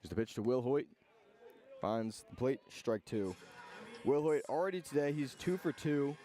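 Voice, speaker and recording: A man doing live radio play-by-play of a baseball game in clipped phrases, with pauses between calls. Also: medium pitch, lively intonation, mellow. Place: outdoor ballpark broadcast.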